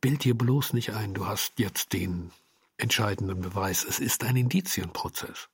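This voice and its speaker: A man giving a reflective interview statement about his work as a radio drama maker, phrasing deliberately and emphatically in fragments. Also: mid-range voice, breathy edge, no echo, clean studio sound.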